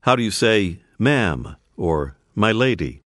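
Guy reading language lesson prompts, slow and lively, dry booth. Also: fairly low pitch, no echo. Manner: clear, prompting the listener to repeat. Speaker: language course narrator. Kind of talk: scripted audio lesson instructions. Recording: dry studio voice-over.

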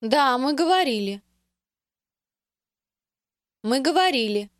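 A woman speaking carefully and clearly as the pronunciation model in a language course. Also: high voice, animated, dry recording, studio quality. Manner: slow and carefully enunciated.

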